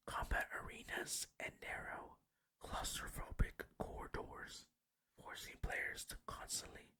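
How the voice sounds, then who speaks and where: A gaming channel host whispering ASMR facts in a breathy, hushed and deliberate way, close to the microphone. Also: extremely high pitch, no echo.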